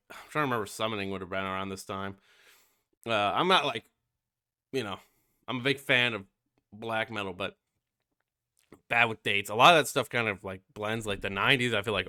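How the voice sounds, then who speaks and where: Young male reviewer, stuffy and nasal from a cold, low-ish voice, dry close sound, loose off-the-cuff rambling. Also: bright, forceful tone, clean tone, no echo. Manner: rambling, many fillers and swearing. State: sick and stuffy. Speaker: music reviewer. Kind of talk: casual album review.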